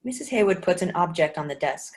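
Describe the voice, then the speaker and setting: A woman reading the stage directions of a play in a plain, even way. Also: fairly low pitch, fast talker.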